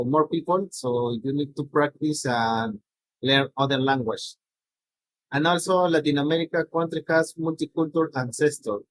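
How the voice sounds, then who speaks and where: Man, Peruvian Spanish accent, middle-aged, unhurried, halting presentation. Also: medium pitch, no room sound, rough recording. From Peru. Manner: halting, frequent restarts and "no?" tags. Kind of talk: slide presentation.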